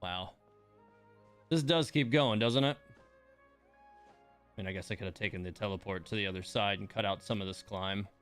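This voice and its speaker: A man's voice: lowish voice, normal speed, pauses often, fairly bright tone, clear, resonant tone, soft background music. A man giving casual livestream game commentary, rambling and muttering to himself as he plays.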